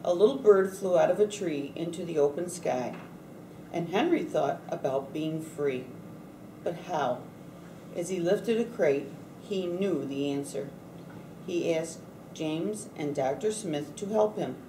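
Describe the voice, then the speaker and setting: A woman reading a picture book aloud from a script in a measured way, bringing some expression to the quoted speech. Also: on the low side, animated, recorded from a distance. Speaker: storybook reader at a read-aloud event. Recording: roomy echo, faint background noise.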